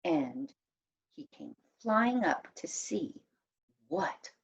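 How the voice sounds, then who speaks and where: A woman reading a children's story aloud as an expressive storyteller. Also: lowish voice, very slow, gravelly, husky, lo-fi sound.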